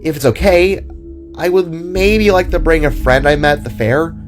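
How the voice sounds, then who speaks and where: A man's voice: fairly high pitch, moderate pace, pitched above the performer's own voice, close to an everyday voice. A man voicing a friendly, easygoing woman with a casual, slightly tentative way of talking. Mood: hopeful. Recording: music under the voice.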